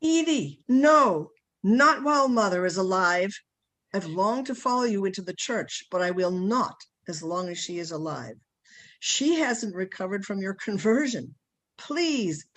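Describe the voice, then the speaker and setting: A woman's voice: mid-range voice, lively intonation, measured pace. A woman playing a loyal, distraught sister in a radio play, imploring with open emotion.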